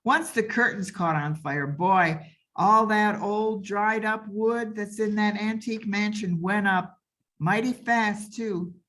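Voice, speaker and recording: A woman's voice: mid-range voice, steady tone, slow, fairly bright tone. A woman playing a folksy, blithely apologetic servant who breaks bad news with hesitant understatement in old-time radio comedy. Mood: matter-of-fact.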